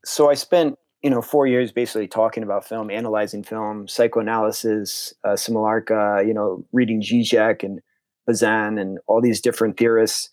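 A man's voice: on the low side, steady tone, moderate pace, darkish tone, no room sound. A young man from Connecticut, a filmmaker being interviewed, talking casually and enthusiastically with frequent restarts. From Connecticut, USA. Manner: rambling, restarts often, enthusiastic. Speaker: filmmaker guest.

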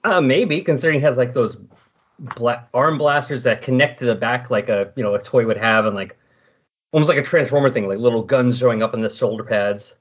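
Man, podcast host, husky voice, conversational, explanatory, slightly muffled lo-fi recording. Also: mid-range voice, brisk. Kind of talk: casual comic-book recap discussion. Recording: dull, lo-fi voice recording.

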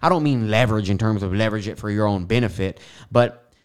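A man's voice: fairly low pitch, fast, clean tone. A young man with a lisp, hosting a podcast and talking casually in a rambling monologue full of fillers and tangents. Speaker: podcast host. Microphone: studio microphone.

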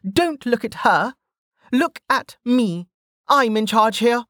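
A woman's voice: fairly high pitch, lively intonation, takes it slowly, fairly bright tone, no room sound, clean studio sound, pitched above the performer's own voice. A narrator voicing a brash, cocky young woman fighter who talks in a bossy, taunting way. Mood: commanding.

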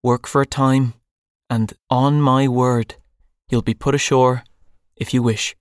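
A man's voice: mid-range voice, little pitch movement, takes it slowly, dry recording, clean studio sound, voice pushed up, natural voice. A man voicing a stern, cold young pirate captain who speaks in clipped commands. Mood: calm, mocking.

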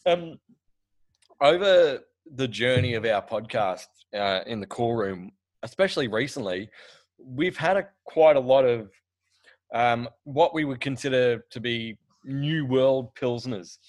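A man's voice: medium pitch, animated, a little slow. A man hosting a podcast, asking loose questions with plenty of fillers. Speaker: podcast host. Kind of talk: casual interview.

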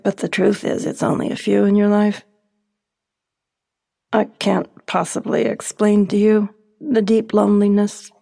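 A woman's voice: medium pitch, gravelly, mellow. A woman acting in a radio play as a lonely elderly widow, wistful and yearning for company.

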